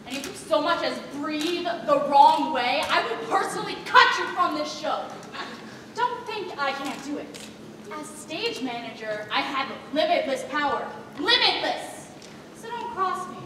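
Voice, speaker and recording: A woman's voice: sits high, big pitch swings, measured pace. A young woman on stage in a school play, playing a bossy, power-drunk teenage stage manager, domineering, curt and sarcastic. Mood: bossy, threatening. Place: theatre stage, filmed from the audience. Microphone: far camera. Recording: distant, echoey, noisy theatre hall recording.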